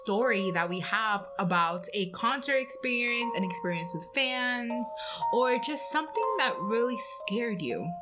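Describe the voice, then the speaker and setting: A woman's voice: fairly high pitch, animated, measured pace, loud music behind the voice. A woman co-hosting a podcast, talking casually as she discusses topics and leads segments. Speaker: podcast co-host.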